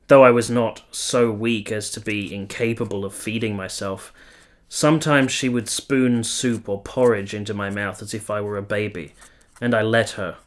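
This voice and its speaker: A man playing an earnest, gentle soldier in a period radio play, speaking in a measured, literary way. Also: fairly low pitch, natural voice. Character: earnest, gentle young soldier narrating his own story. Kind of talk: first-person dramatic narration in a radio play.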